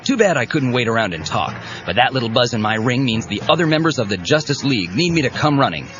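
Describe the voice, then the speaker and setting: A man's voice: mid-range voice, sing-song, fast talker, over music, close to an everyday voice. A man playing a breezy, cocky young superhero in an audio drama, casual and chatty. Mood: breezy, confiding. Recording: dull cassette with faint background noise.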